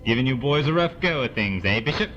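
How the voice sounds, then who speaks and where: A man's voice: mid-range voice, animated, fast talker, a little hiss or hum, close to an everyday voice. A man playing a loyal, curious lieutenant who defers to his superior in an audio drama. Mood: friendly. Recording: dull lo-fi with loud music behind.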